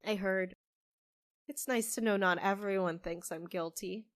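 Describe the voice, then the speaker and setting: A woman's voice: mid-range voice, fairly even intonation, unhurried, no room sound, lightly acted. A woman acting a sardonic, frustrated barista wrongly accused of a crime in a fantasy audio drama, with terse delivery. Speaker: voice actor in a fiction podcast.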